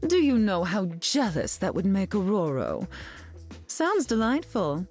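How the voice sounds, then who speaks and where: A woman's voice: mid-range voice, very animated, a little slow, darkish tone, soft background music. A woman playing a haughty, glamorous, sardonic society queen in a video game, imperious and arch in manner. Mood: sardonic, amused. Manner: imperious, arch, drawling endearments. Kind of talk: video game character dialogue.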